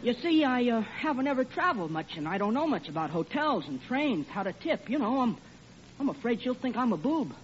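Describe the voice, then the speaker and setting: An actor playing a naive, polite young groom-to-be in a 1940s radio comedy, hesitant and bashful. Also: male voice, extremely high pitch, very animated, a little fast. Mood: nervous, bashful. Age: young adult. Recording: muffled noisy old radio recording.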